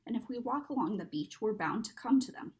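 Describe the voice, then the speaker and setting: Woman as a brisk, confident elder schoolboy. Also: fairly high pitch, animated, darkish tone. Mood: practical.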